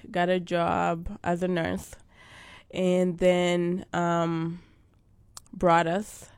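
A woman from Uganda recounts her childhood and faith in a measured, slow way. Her voice has a gravelly edge and sits a little low. The sound is dry and close. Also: dry recording. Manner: slow, thoughtful storytelling with fillers. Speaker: guest. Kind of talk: interview about life and faith.

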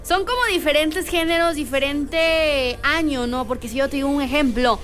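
A woman's voice: sits high, steady tone, moderate pace, a bit bright. A young Mexican woman radio DJ, chatting casually and rambling with lots of fillers. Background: faint music.

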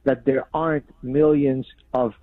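A man's voice: mid-range voice, flat delivery, dry recording. A man from Lebanon whose first language is Arabic argues his case emphatically and fluently as a remote guest. His voice comes through a narrow, tinny phone line. The sound is close and dry, with no room in it. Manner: emphatic, fast-flowing, pointed. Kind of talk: remote interview, argumentative commentary.

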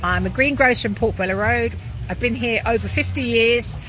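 An older woman speaks plainly about her trade at the market. Her voice sits a little high. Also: brisk, a bit bright, rough recording. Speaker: greengrocer.

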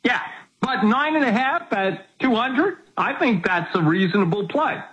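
Husky-voiced 70-year-old man on a muffled line. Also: very high voice, expressive, measured pace, fairly bright tone. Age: 70s. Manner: wry, self-mocking, laughs at his own jokes. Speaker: guest betting analyst. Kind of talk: casual sports betting talk on a radio show.

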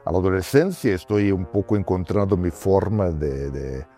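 A man whose first language is Portuguese gives reflective interview answers, weighing each thought aloud. His voice is fairly low and mellow. Soft music sits faintly underneath. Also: moderate pace. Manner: thoughtful, self-questioning, ends points with "¿no?". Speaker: documentary filmmaker.

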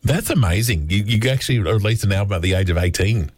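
A man's voice: lowish voice, expressive, fast talker, husky, no echo. A man hosting a community radio show, chatting with guests in a jokey interview style. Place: radio studio.

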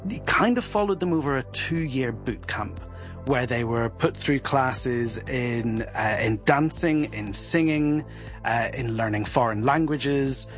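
A man, a guest reporter, explaining at length in a steady, informed way on a podcast. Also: mid-range voice, slow pace, faint music behind.

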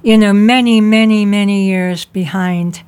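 Slow-spoken woman radio host. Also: mid-range voice, steady tone, darkish tone, clean tone. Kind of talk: radio interview. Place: radio studio.

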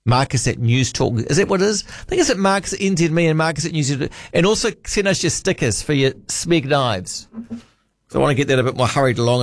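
A man's voice: medium pitch, dry recording, studio quality. A man with a New Zealand accent hosting a late-night talk radio show, chatty and wry, musing aloud. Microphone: studio microphone.

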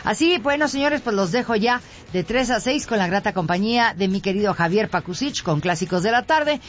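A woman with a Mexican Spanish accent presenting music radio in a conversational, reflective and expressive manner. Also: mid-range voice, fairly quick. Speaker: radio host. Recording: dull lo-fi radio sound, music behind. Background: background music.

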